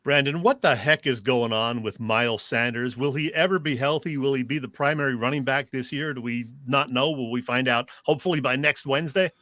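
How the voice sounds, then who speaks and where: A man's voice: mid-range voice, steady tone, a little fast, few pauses, pushed and bright, no room sound. A man hosting a sports show with casual talk and questions for a guest.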